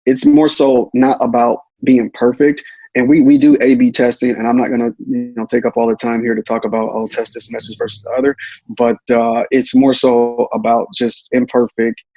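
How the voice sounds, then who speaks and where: A man's voice: medium pitch, little pitch movement, moderate pace, warm tone, dry recording, highs cut off. A man giving tips as an interview guest in a casual, repetitive way, often checking in with "right?". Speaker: guest, real estate investor.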